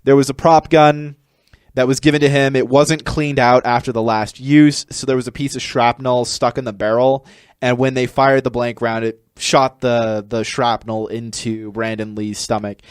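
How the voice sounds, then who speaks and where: A man's voice: medium pitch, flat delivery, normal speed, clear, resonant tone, dry recording. A man talking casually and bluntly as an opinionated podcast co-host, giving entertainment commentary on a close microphone.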